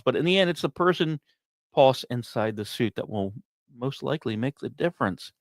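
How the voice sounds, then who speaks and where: A male podcast host narrates space and science material in a medium-pitched voice. He phrases it like a dramatic story, setting off short fragments with pauses and now and then stepping aside to address listeners. The sound is dry and close, with little room around the voice. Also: clear, resonant tone, no echo.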